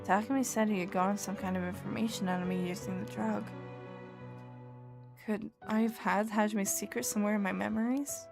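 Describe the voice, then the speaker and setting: A woman's voice: mid-range voice, fairly even intonation, a little fast, gravelly, natural voice. Woman doing a tough ex gang girl, defiant, mixed scottish-canadian accent, game music playing. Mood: worried. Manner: defiant, resolute.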